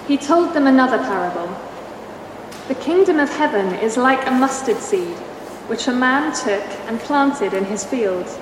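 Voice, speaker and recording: A woman reading a Bible passage aloud in church at a steady, measured pace. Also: high-pitched. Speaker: scripture reader. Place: church hall. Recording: echoey hall from far off, noisy.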